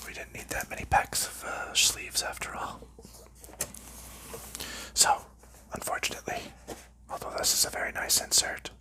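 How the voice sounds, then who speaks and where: A man hosting an ASMR video in a soft unvoiced whisper, calmly narrating each step as he packs up a board game, with relaxed fillers. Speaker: ASMR channel host.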